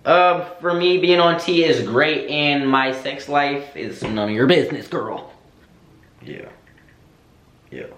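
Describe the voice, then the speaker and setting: A man's voice: on the high side, takes it slowly, pauses often, fairly bright tone, a little hiss or hum. A young man chatting candidly to camera, sassy and slangy, answering viewer questions. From United States. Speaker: vlogger. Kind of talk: casual Q&A chat to camera. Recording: echoey room.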